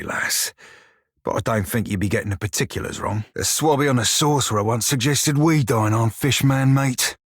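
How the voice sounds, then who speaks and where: An actor voicing a short, crude, wry pirate shiphunter for a video game, with a husky, rough-edged voice and a pirate dialect full of salty sailor slang. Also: male voice, medium pitch, no echo, clean studio sound, natural voice. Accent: pirate-style dialect. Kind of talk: video game character dialogue and barks.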